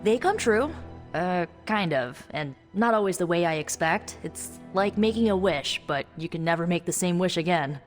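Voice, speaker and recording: A young spellcasting heroine from a video game, acted by a woman, speaks in a slightly low voice. She is wry and guarded, with halting asides, and her words ring with long reverb. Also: animated, natural voice. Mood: friendly, wry. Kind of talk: video game character dialogue, conversational. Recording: long reverb, music underneath.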